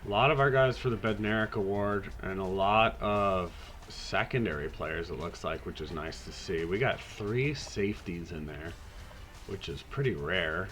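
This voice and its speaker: A man hosting a podcast, talking casually through a video game season in a raspy voice, hoarse from a cold, laid-back and rambling with the odd swear. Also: low voice, moderate pace, a bit bright, soft background music. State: hoarse, getting over a cold. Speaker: podcast host.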